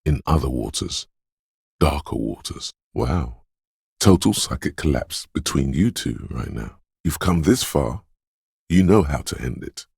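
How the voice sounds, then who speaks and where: A man voicing a brooding, superstitious inner voice of intuition in a video game, speaking in a hushed, portentous, theatrical way. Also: expressive, measured pace, no room sound, clean studio sound, full character voice. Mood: brooding, conspiratorial. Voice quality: very deep, gravelly, husky. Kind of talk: dramatic video game narration.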